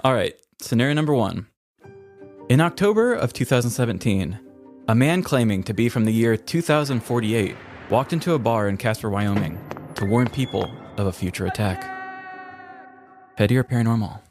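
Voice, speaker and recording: A man's voice: mid-range voice, expressive, moderate pace, music in the background. A man co-hosting a comedy podcast, telling stories in a casual, playful, conversational way. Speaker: podcast co-host.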